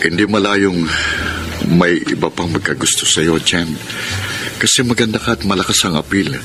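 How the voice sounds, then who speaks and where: A man's voice: deep voice, normal speed, background noise, close to an everyday voice. An actor with a Filipino accent playing an earnest, slightly jealous seaman boyfriend in a radio romance. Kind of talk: Filipino radio drama acting.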